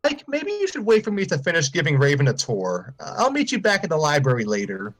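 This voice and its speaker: A man voicing a polite, earnest young female pony in a halting, girlish way. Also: fairly high pitch, huge pitch swings, fairly bright tone, soft background music, pitched above the performer's own voice, full character voice. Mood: hesitant, urging.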